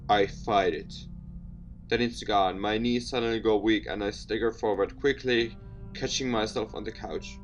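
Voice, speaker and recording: A man doing casual let's play commentary and reading visual novel text aloud, with an expressive delivery. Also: fairly low pitch, moderate pace, highs cut off, faint music behind. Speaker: gaming video host.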